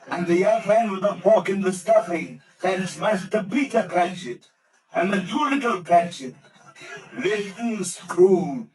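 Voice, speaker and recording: An actor playing a jolly giant Christmas spirit in an old radio drama, booming and commanding. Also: male voice, recorded from a distance, poor audio. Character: jolly giant male Ghost of Christmas Present. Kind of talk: 1940s radio acting.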